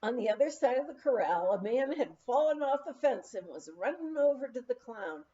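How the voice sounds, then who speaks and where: A woman reading a memoir aloud as expressive narration, with measured storytelling and dramatic pauses. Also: sits high, lo-fi sound. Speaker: audiobook reader.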